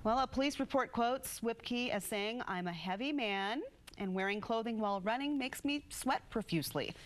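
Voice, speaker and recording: A woman's voice: fairly high pitch, moderate pace, slight background noise. An American woman reading scripted morning news as a television anchor.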